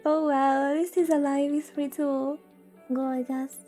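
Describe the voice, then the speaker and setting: A woman's voice: high voice, little pitch movement, unhurried, warm tone, soft background music. A woman streamer reading a visual novel aloud in a casual livestream style, informal and given to playfully exasperated commentary.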